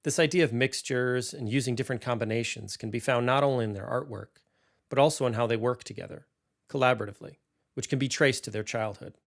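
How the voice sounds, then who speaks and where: A man's voice: medium pitch, quick pace. A man narrating a podcast documentary from a script.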